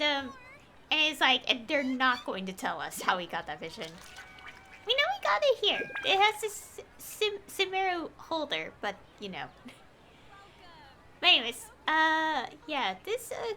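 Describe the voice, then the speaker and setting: A woman's voice: sits high, sharp tone. A young woman streaming a game, chatting casually and rambling with many fillers and little laughs. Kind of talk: casual gameplay commentary. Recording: game music playing behind.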